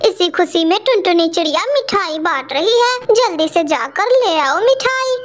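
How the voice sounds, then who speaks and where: Woman voicing a very high-pitched sly cartoon crow. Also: lively intonation, normal speed. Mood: coaxing, sly. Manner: brash, cunning. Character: sly, greedy, boastful female crow thief. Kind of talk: Hindi children's cartoon dialogue.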